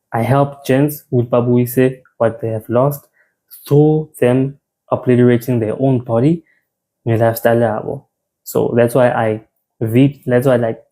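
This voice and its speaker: South African man, young adult, mellow tone, casual health talk, repeats and restarts words. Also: mid-range voice, rough recording. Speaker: men's health presenter. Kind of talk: informal health talk, mixing in isiZulu words.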